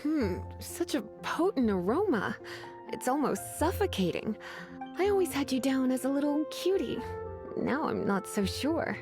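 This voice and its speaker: Poised young librarian woman, coy, refined, high lilting pitch, lively swings, video game. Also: measured pace, over music. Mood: teasing, poised. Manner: languid, refined, coy, gently condescending. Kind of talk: video game character acting.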